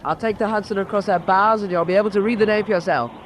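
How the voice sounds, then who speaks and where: An actor playing an alert, businesslike air force pilot in a wartime radio drama, matter-of-fact and directing. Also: male voice, sits high, steady tone, fast talker, slight background noise, rough recording. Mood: alert, confident. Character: alert, businesslike male RAF patrol pilot. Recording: loud vehicle engine drone behind voice.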